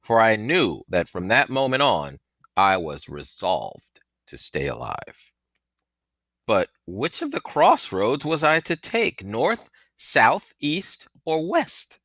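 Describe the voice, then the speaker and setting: A man reading a storybook aloud as an audiobook narrator, with theatrical, expressive delivery. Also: on the low side, slow, no echo, clean studio sound. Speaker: audiobook reader.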